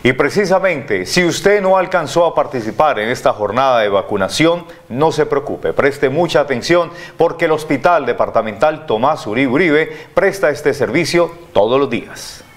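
A man's voice: mid-range voice, lively intonation, normal speed, hardly any pauses. A middle-aged man anchoring a studio newscast, formal and addressing viewers directly. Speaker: news anchor. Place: TV news studio.

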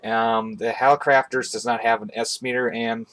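A man's voice: mid-range voice, level tone, moderate pace, fairly bright tone, poor audio. A young man chatting casually and a little hesitantly as he gives an informal hobbyist review, recorded in a small room. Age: young adult. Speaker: hobbyist video host. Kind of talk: casual product review chat. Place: small bedroom indoors.

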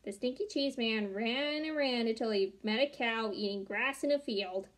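A woman's voice: a little high, moderate pace. A young adult woman narrating a children's storybook aloud in a small room at home. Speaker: storybook reader.

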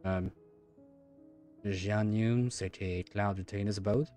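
A man's voice: fairly low pitch, steady tone, slow pace. A man in his thirties, a streamer giving casual live commentary on a game. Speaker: gaming streamer. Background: game music.

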